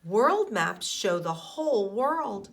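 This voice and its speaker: A middle-aged woman, a schoolteacher, reading a picture book aloud to young children and explaining it in simple, deliberate phrases with questions to the listeners. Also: medium pitch, very animated, vocal fry, a bit bright. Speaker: elementary school teacher.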